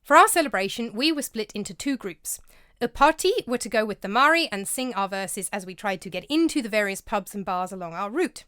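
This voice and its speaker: Female vlog narrator, scripted and scholarly, bright lilting delivery, bone-dry studio read. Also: mid-range voice, expressive, a little fast, clean tone, no echo. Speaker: vlogger and narrator. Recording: dry close-mic voice-over.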